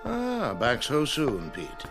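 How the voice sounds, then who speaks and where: Hearty traditionalist clubman, radio play, expressive medium voice, faint music behind. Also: mid-range voice, takes it slowly, vocal fry, exaggerated voice. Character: clubby male investor of traditional tastes. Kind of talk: dramatic radio play acting.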